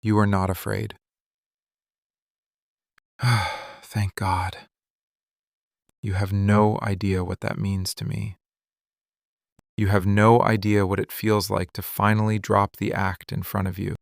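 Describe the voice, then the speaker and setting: A man acting a possessive, menacing mafia boss in love, speaking slowly, deliberately and intimately in an ASMR roleplay. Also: lowish voice, flat delivery, gravelly, no echo, clean studio sound. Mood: relieved, intimate. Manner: slow, deliberate, intense, hushed confiding delivery. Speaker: ASMR voice actor. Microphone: close studio microphone, dry.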